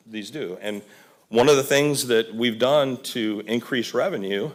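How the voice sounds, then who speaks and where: A man presenting to a county board as a volunteer, talking informally with fillers and restarts. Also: on the low side. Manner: conversational, many fillers and restarts. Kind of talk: public meeting presentation. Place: county commission meeting room.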